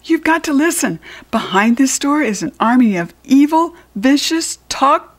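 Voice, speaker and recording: Excitable storybook schoolboy played by a woman, American, raised pitch, urgent and exclamatory. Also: unhurried. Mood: pleading, urgent.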